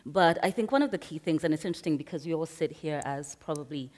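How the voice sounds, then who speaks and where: A woman's voice: low-pitched, fast, a little mellow. A woman from Kenya speaking as a panelist at a conference, earnest and emphatic, thinking aloud. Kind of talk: panel discussion. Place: conference hall. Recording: reverberant conference hall.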